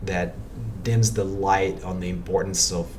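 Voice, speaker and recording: A man in his forties from Ukraine with a very low voice, speaking as a podcast guest. He talks reflectively, circling back and repeating words as he works out his thoughts. He is picked up from some distance, with steady noise behind him. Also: unhurried. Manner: reflective, thinks aloud, repeats words while searching for them. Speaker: guest, meditation practitioner. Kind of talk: casual podcast conversation. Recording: distant mic with clear background noise.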